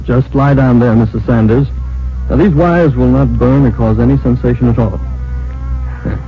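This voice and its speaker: A calm male doctor acted by a man in a vintage radio drama, speaking at a middle pitch. He is formal, precise and measured. The old recording sounds dull. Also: lightly acted. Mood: calm, clinical. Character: calm, formal male doctor running a lie detector test. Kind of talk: 1940s-50s radio acting.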